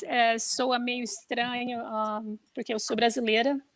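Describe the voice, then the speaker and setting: A woman's voice: a little high, flat delivery, unhurried, dry recording. A woman from Curitiba, Brazil, appearing as a guest over a video call. She speaks hesitantly, says her Portuguese is rusty, and mixes in English words.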